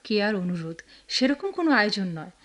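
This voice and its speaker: Fond sister-in-law, mid-pitched female voice, coaxing, teasing, radio drama dialogue. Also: sing-song, a little fast, a bit bright. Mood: excited, coaxing. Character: affectionate, excited sister-in-law bringing good news.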